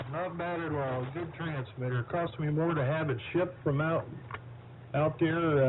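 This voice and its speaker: Older guy rambling slow on a ham radio, folksy, thin narrow sound w faint static. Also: mid-range voice. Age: middle-aged or older. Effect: radio transmission. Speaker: amateur radio operator. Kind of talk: casual ham radio chat.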